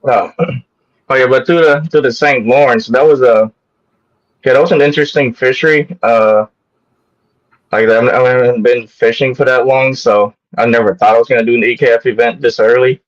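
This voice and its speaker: A man's voice: mid-range voice, steady tone, a little fast. A man originally from Vietnam, a guest on a live show, telling a casual story in a rambling, hesitant way with many restarts. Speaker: guest kayak angler. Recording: muffled lo-fi audio.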